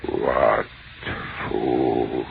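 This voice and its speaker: An actor playing an ancient, contemptuous, menacing alien mind in old-time radio horror, speaking in slow, pompous pronouncements. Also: male voice, a bass voice, flat delivery, vocal fry, full character voice. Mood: menacing, hungry. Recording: muffled, narrow-band old radio broadcast with hiss.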